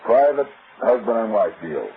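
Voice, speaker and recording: Tinny old-radio sly private eye. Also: male voice, on the low side, very animated, a little hiss or hum. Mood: wry, cagey. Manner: sly, wry, drawn-out teasing. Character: wisecracking, sly hardboiled private detective. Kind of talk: old-time radio drama acting.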